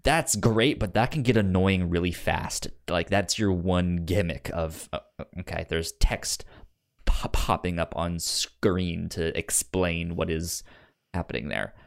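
A man's voice: low voice, unhurried, creaky. A man co-hosting a podcast in casual, unscripted chat, often repeating words as he thinks aloud. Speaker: podcast co-host.

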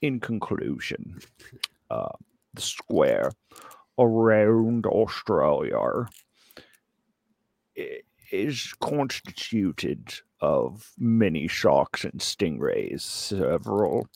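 Low male voice, gravelly, put-on Australian accent, halting deadpan mock lecture, comic presenter. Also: no room sound. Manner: putting on a joke Australian accent. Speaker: comic presenter giving a slideshow talk.

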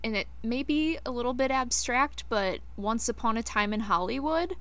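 Higher-pitched woman chatting casually. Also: normal speed, fairly bright tone. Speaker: podcast guest co-host. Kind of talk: casual chat, giving a recommendation.

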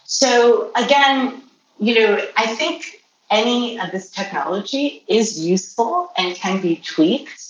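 A woman's voice: a little high, expressive, takes it slowly. Woman, native Polish speaker, roomy distant sound. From Poland or Polish-speaking family. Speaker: interview guest, healthcare professional. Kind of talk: conversational interview answers. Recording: roomy, distant mic, faint noise.

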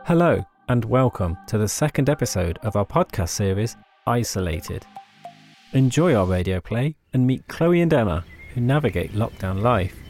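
A man reading a scripted introduction to a podcast radio play in a measured, announcing way. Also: on the low side, lively intonation, a little mellow, faint music behind. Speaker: podcast host.

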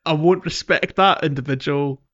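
A man's voice: fairly high pitch, normal speed, fairly bright tone, highs cut off. A man co-hosting a gaming podcast, chatting casually in a rambling, jokey way. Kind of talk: casual chat about a video game.

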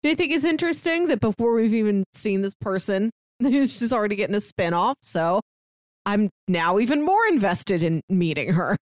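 A woman's voice: medium pitch, sing-song, moderate pace, no room sound, clean studio sound. A woman co-hosting a podcast in casual conversation, with a playful, wry way of talking. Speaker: podcast co-host. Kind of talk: casual chat about comics and shows.

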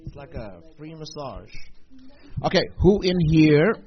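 A man leading a church group session in a relaxed, joking, then earnest way. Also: a little high, a little slow, a loud crowd. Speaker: Bible study leader. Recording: dull lo-fi room recording with clear background noise.

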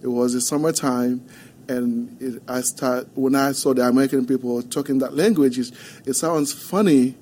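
Haitian-accented male guest, mellow and warm, quiet earnest storyteller, faint hiss behind. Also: medium pitch, steady tone. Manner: soft-spoken, halting, earnest storytelling. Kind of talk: podcast interview. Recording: faint background noise.

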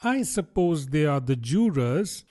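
A curious, polite little girl in a storybook, her voice lifted high and wondering, as a man reading aloud puts her on. She muses to herself in a light, questioning way. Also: sing-song, a little slow, a little mellow.

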